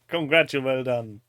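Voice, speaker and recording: Animated German man chatting on a stream. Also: mid-range voice, no echo, studio quality. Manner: jokey, crude humour, teasing. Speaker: live stream host. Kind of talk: casual live-stream chat.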